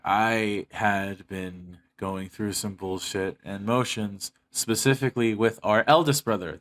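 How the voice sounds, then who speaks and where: Low-voiced young American man, blunt and candid. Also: sharp tone. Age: young adult. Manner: blunt, heavily swearing, emphatic on grievances. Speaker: YouTuber. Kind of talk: candid personal monologue to viewers.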